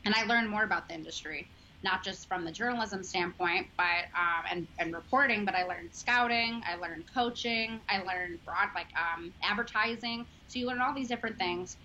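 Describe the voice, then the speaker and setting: A sports journalist in her thirties with a Chicago accent talks in a chatty, earnest way, full of ums and likes. Her voice is bright and forward. The audio is dull and lo-fi, with a faint hiss behind it. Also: mid-range voice, moderate pace. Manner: chatty, filler-heavy, earnest. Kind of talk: casual interview chat.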